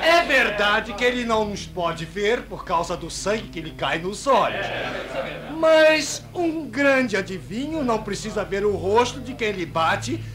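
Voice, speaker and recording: A man's voice: high voice, expressive, unhurried, a crowd in the background. A man playing a cruel, gleeful Roman soldier in a radio drama, mocking with declamatory, ceremonial pomp. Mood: gleeful scheming. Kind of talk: old-fashioned radio drama acting.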